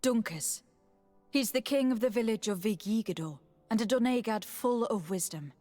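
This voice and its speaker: An actress playing a composed, dutiful young noblewoman diplomat in a video game, speaking in a measured, earnest, formal manner. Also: a little high, animated, a little mellow, faint music behind, natural voice.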